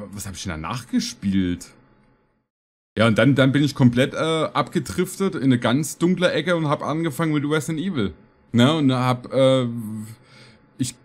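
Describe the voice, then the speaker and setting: A man in his thirties hosting a reaction video, rambling and joking casually at a close microphone. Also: medium pitch. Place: small streaming room indoors.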